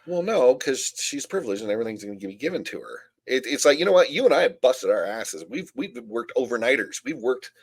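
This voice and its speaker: A middle-aged man from the United States holds forth on a casual podcast, blunt and opinionated. His voice sits in a mid register. He leaps up and down in pitch as he mimics people and drives his points home. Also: a little fast, hardly any pauses, husky, poor audio. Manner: blunt, animated, acts out whiny quotes. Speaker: podcast co-host.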